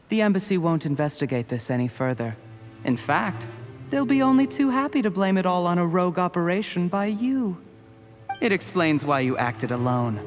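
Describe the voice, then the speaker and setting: A man voicing a scheming game villain, cool and menacing, his voice pitched a little high. He lays out his plot in a calm, gloating tone while faint music plays underneath. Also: close to an everyday voice. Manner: calm, menacing, drops to a hushed whisper. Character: scheming male official, smooth villain. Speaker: game character.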